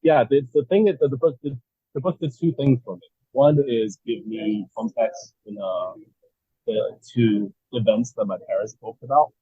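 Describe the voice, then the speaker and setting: A man's voice: mid-range voice, normal speed, breathy edge, no echo, narrow, tinny audio. A man, a guest on a podcast chat, talking in a stammering way and repeating words as he starts his sentences. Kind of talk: casual chat.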